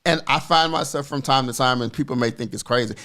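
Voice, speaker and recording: Man, forties, podcast co-host, earnest, reflective, halting delivery. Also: medium pitch, bright, forceful tone. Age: 40s. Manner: reflective and earnest, with many pauses and fillers. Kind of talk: personal storytelling on a podcast.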